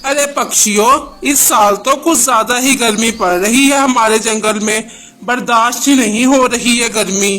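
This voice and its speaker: Guy doing a sneaky crow for a kids cartoon, super high sharp voice, theatrical. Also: fairly even intonation, moderate pace, soft background music. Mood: complaining. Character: scheming freeloading male crow, sly and self-satisfied. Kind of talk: children's cartoon story dialogue.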